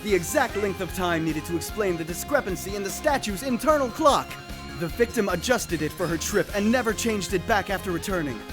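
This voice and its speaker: A man's voice: high-pitched, lively intonation, fairly quick, over music. A man voicing a cocky, bumbling young defense attorney with flippant bravado in a comedic cartoon parody. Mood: triumphant. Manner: flippant, sarcastic, stammering bravado.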